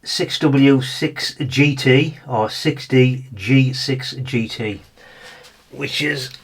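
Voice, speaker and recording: A man's voice: mid-range voice, extremely slow, breathy edge. A British man talking casually and thinking aloud as he gives a hands-on teardown commentary, rambling and informal. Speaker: hobbyist radio channel host.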